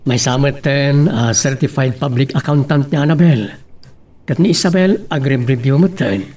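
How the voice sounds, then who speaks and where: A man's voice: mid-range voice, normal speed, a little mellow, lightly acted. A man playing a middle-aged, plain-spoken father in a radio-style drama, with an Ilocano accent. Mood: concerned.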